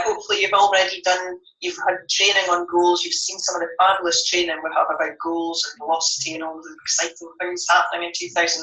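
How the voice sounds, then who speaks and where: A woman in her thirties with a stuffy, cold-clogged voice coaches her listeners in a punchy, upbeat way. Her tone is bright and forceful, sitting a little low. The sound is roomy and echoey, with her voice set back from the mic. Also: far from the mic. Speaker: network marketing distributor. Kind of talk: motivational training talk.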